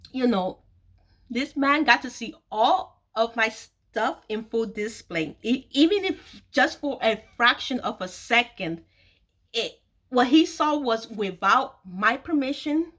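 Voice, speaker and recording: A woman's voice: fairly high pitch, fairly bright tone. A woman in her forties hosts a personal storytelling video. She speaks candidly and confidingly to her audience. Key phrases are stressed and often repeated for emphasis. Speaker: host of an online video series, author telling her own story.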